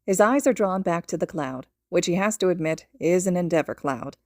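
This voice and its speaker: A woman's voice: lowish voice, expressive, normal speed, no room sound, studio quality. A woman reading scripted fiction aloud as a podfic narrator. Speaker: podfic reader and host.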